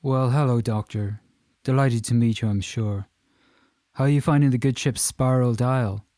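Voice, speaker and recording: A man's voice: medium pitch, normal speed, dark tone, no echo, clean studio sound, lightly acted. A man voicing a boastful, chattering Irishman in his fifties with a menacing streak, in an audiobook. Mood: effusive, jovial. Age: 50s. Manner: chattering, effusive, emphatic. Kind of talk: audiobook character dialogue.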